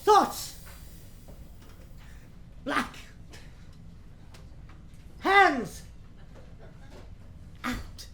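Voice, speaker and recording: A bright, high voice from an actress plays an overdone stage player at rehearsal. Words come out halting and stilted, extremely slowly, in radio drama style. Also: female voice, expressive, creaky, a little hiss or hum, exaggerated voice. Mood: stilted, dramatic.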